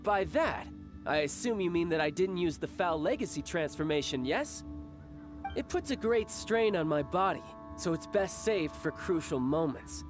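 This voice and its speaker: An actor playing a confident, playful young warrior and doting older brother in a video game, with a theatrical, teasing manner. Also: male voice, fairly high pitch, expressive, moderate pace, close to an everyday voice. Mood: cheerful, confident. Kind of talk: video game character acting. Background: game music.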